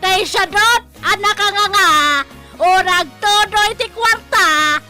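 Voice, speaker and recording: A woman's voice: extremely high pitch, measured pace, bright, forceful tone, soft background music, full character voice. A woman acting a nagging, exasperated wife who scolds her husband in an Ilocano radio drama.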